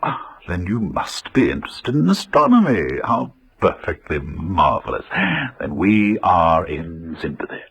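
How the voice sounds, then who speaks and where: An actor with an English accent playing a genteel, chatty, eccentric upper-class chimney sweep in an old radio drama, with flowery, courteous manners. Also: male voice, low-pitched, very animated, measured pace, gravelly, breathy edge, full character voice. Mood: enthusiastic. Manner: effusive, flowery, old-fashioned gentlemanly politeness.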